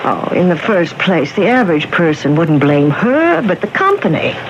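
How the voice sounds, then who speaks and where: A stubborn lady detective in an old radio play, argumentative and very animated in pitch. The recording is muffled, with clear noise behind her. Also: moderate pace. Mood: insistent. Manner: insistent, argumentative. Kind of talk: 1970s radio drama acting. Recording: dull, noisy 1970s radio broadcast.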